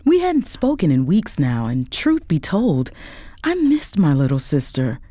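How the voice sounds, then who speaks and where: A woman playing a proud, composed young lawyer and elder sister, narrating and acting in a first-person audio drama with deliberate expression. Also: sits low, huge pitch swings, warm tone, no room sound, studio quality, exaggerated voice. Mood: reflective.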